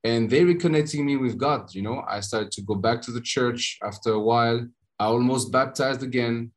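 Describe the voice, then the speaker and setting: A man from France with a French accent, a podcast guest telling his story in a halting way with frequent pauses and restarts. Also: mid-range voice. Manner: halting, many uh fillers and restarts. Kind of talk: conversational interview storytelling.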